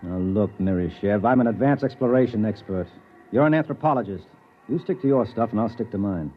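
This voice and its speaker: Tinny, low-voiced gruff space explorer played by a man. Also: expressive, brisk, lightly acted. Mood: irritated, scornful. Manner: brusque, dismissive, hard-boiled. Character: gruff, arrogant, edgy male space explorer. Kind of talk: 1950s radio drama acting with first-person narration. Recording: narrow, tinny, noisy 1950s radio recording.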